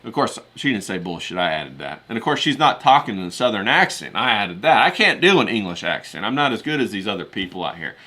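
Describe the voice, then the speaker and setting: A man with a fairly low voice and a bright, forceful edge. He talks as a livestream host in a loose, folksy monologue, circling back over his words in colloquial, rambling phrases. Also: very animated, fast, hardly any pauses. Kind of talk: casual chatty livestream monologue.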